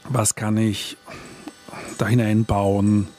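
A man's voice: lowish voice, flat delivery, slow, a little mellow, faint music behind. Thoughtful man, searching for words. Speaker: author and audio artist, guest. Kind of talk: reflective festival stage interview. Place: festival stage.

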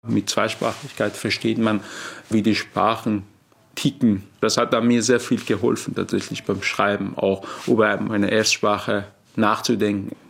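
A male author reflects in an interview, searching for words with slightly non-native phrasing. His voice is lowish and gravelly with frequent fry. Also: flat delivery, unhurried, fairly bright tone. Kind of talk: interview answers.

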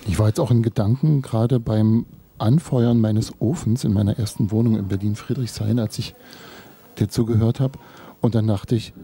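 A man on a panel puts a reflective question to a guest. His voice sits low and sounds very dark and husky. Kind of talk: conversational question.

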